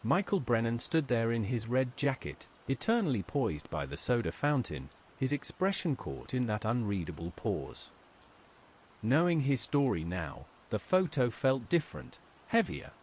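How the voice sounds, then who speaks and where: A man narrating a scripted horror story in a measured, dramatic way, as a storyteller. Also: medium pitch, sing-song, a little mellow.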